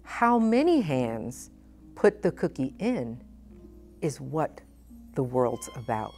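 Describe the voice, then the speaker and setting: A middle-aged woman presenting a children's storytime, speaking expressively and with deliberate phrasing for young listeners. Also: extremely low pitch, very animated, darkish tone, faint music behind. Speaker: guest storytime reader, nonprofit president. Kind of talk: children's picture book read-aloud. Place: indoor storytime set at a library.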